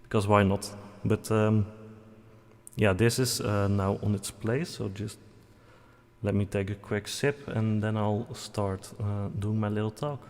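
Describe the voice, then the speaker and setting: A man's voice: lowish voice, fairly even intonation, unhurried. A young man with a Dutch accent, a native Dutch speaker, casually introducing himself on a livestream, rambling with lots of fillers and self-deprecating jokes. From Netherlands. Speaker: VTuber streamer.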